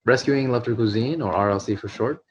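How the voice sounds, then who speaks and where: A man's voice: mid-range voice, fast talker, dull audio, a little hiss or hum. A man who runs a nonprofit, speaking from his apartment in a hesitant way with many fillers and restarts. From New York. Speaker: nonprofit CEO. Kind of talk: video call interview.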